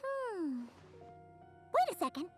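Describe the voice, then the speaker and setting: A woman voicing a tiny, excitable fairy companion with a squeaky childlike voice in a video game. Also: slow, exaggerated voice. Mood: concerned. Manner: excitable, speaks of self in third person. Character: tiny chirpy floating fairy companion, bubbly and bossy. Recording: background music.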